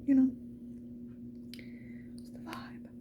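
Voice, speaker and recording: A woman speaking mostly in a breathy whisper, chatting casually to the viewer in an ASMR get-ready style. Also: slow, muffled recording. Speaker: ASMR video creator.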